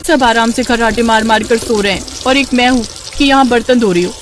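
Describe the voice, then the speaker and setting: Woman playing a whiny, high-voiced young daughter-in-law. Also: fairly even intonation, brisk, slight background noise, lightly acted. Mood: grumbling. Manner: whiny, complaining. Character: lazy, whining, defiant young daughter-in-law. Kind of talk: Hindi cartoon family moral story.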